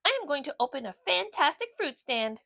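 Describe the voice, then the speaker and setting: A woman's voice: high-pitched, sing-song, brisk, gravelly, bright, forceful tone, no echo, clean studio sound, voice pushed up, heavily acted. A woman voicing a sweet, determined female bulldog in a children's storybook, speaking in an earnest, emphatic way. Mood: eager.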